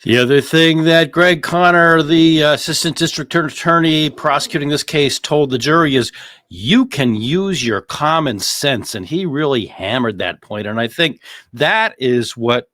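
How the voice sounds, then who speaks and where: A man's voice: a little high, expressive, a little slow, fairly bright tone, dry recording, studio quality. A man hosting conversational legal commentary on a criminal trial, repeating phrases for emphasis. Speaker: host of a legal commentary show.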